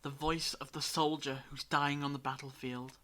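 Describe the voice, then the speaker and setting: An actor playing a knowing time traveller in an audio drama, speaking in a measured, grave, explanatory way. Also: medium pitch, level tone, bright, forceful tone, lightly acted.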